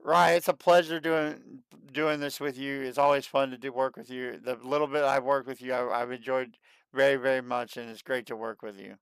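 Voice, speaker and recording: A man in his late thirties hosting a livestream, chatting casually and excitedly, and often repeating himself. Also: mid-range voice, normal speed, creaky, clear, resonant tone, dry recording, rough recording. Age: 30s. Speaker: livestream host. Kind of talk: casual chat.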